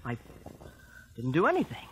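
A man's voice: mid-range voice, wildly swooping pitch, normal speed, a bit bright, husky, exaggerated voice. An actor playing a nervous, evasive teenage boy who stammers defensively in an old radio drama. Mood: guarded. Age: young adult. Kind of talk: 1950s radio drama acting. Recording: noisy old radio broadcast recording.

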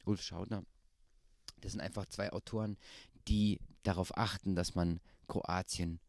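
Low, dark-voiced relaxed man. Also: a little slow, dry recording, clean studio sound. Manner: relaxed, chatty, frequent fillers and restarts. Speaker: actor interviewee. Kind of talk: casual interview answers. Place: small indoor room with pale walls. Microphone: handheld microphone.

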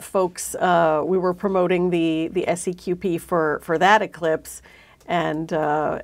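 A middle-aged woman hosting a conversational interview, recorded indoors in a studio on a lapel mic. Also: fairly low pitch. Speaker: interview host. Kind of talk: conversational interview on a hobby show.

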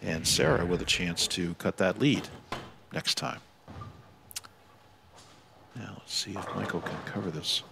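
A man doing live bowling commentary, giving measured analysis with excited calls on shots. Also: low voice, gravelly, background noise. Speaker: sports commentator.

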